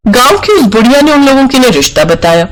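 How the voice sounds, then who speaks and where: A male storyteller narrates a cartoon folk tale. His pitch is unusually high and moves up and down in a very lively way, as scripted narration for an animated story. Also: fast, low-quality recording.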